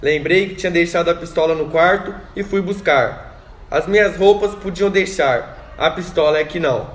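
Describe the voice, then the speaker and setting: A man's voice: a little high, moderate pace, natural voice. A man playing an exhausted, alarmed guerrilla fighter reporting in short phrases in an amateur radio drama. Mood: shaken, reporting. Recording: echoey room with faint noise.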